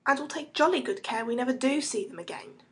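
A woman's voice: sits high, lively intonation, moderate pace, pitched above the performer's own voice. A woman voicing a good-natured young boy who speaks kindly. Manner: kindly, matter-of-fact.

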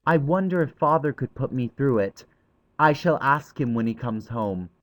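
A man's voice: medium pitch, animated, normal speed, voice pushed up, lightly acted, rough recording. A man voicing an eager, earnest and slightly pompous schoolboy in an audiobook. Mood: self-important. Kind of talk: audiobook character voice.

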